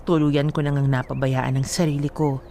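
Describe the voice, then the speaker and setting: A man's voice: on the high side, level tone, normal speed, vocal fry, mellow, a little hiss or hum. A man with a Filipino accent narrating a scripted first-person story for a radio drama.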